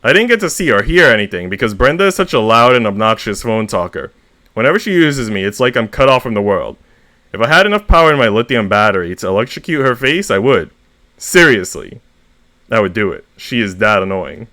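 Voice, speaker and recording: A man's voice: fairly low pitch, big pitch swings, fairly quick, pushed and bright, no echo. Man voicing a spiteful, fed-up talking phone. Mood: resentful.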